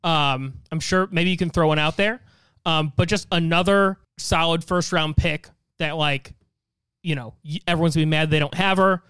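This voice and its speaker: A man's voice: on the high side, steady tone, normal speed, a bit bright, smooth, no room sound. A man co-hosting a podcast in casual, joking back-and-forth banter. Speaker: podcast host. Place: podcast recording space.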